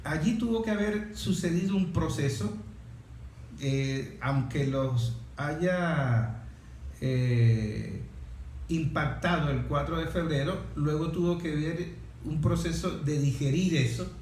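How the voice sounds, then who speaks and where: A man's voice: a little high, takes it slowly, darkish tone. A man interviewing conversationally, building long reflective questions and affirming his guest. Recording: roomy echo with faint background noise.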